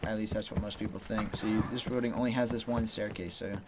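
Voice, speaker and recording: A man's voice: lowish voice, fairly even intonation, fast talker, background noise. A man giving rambling, casual gameplay tips, talking loosely with lots of "you know" and "like". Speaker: gaming channel host.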